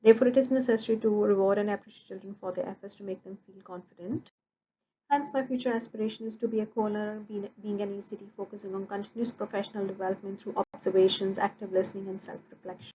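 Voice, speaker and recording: Woman student, warm low-key tone, steady listing delivery, dull lo-fi recording. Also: quick pace. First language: Punjabi. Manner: steady, listing points with frequent "uh" fillers. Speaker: student presenting an assessment. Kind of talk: prepared academic presentation. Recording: dull lo-fi audio with faint noise.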